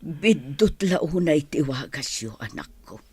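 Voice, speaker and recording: An actress playing a worried, sorrowful old mother in an Ilocano radio drama, with a plaintive, husky delivery. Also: low-pitched, animated, takes it slowly, darkish tone, heavily acted. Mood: grieving.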